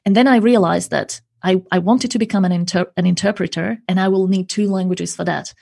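A woman's voice: on the low side, steady tone, fairly quick, vocal fry, dark tone, husky. A woman working as a language coach and interpreter, answering interview questions in an earnest, explanatory way, often ending points with a tag question.

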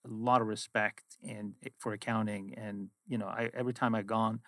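A man's voice: on the low side, flat delivery, moderate pace, no room sound, clean studio sound. A middle-aged man from Sweden with a Swedish accent, Swedish being his first language, talking as an interview guest and telling reflective stories about his work. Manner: reflective, storytelling. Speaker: guest, finance executive. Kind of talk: casual interview chat.

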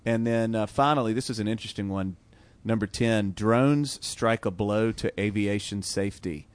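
A man's voice: lowish voice, moderate pace. A man co-hosting a business talk show, easygoing and jokey. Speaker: radio show co-host. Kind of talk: casual podcast chat.

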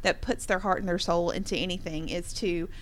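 A woman's voice: fairly low pitch, fairly even intonation, fairly quick. A woman hosting a conversational interview with award winners, speaking in an encouraging, affirming way. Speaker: interview host.

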